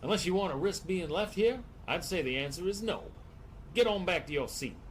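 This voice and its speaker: An actor playing a surly, bigoted 1940s Southern bus driver in an audio drama, with a gruff, folksy drawl. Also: male voice, sits high, animated, a little fast, a little hiss or hum. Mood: contemptuous, angry.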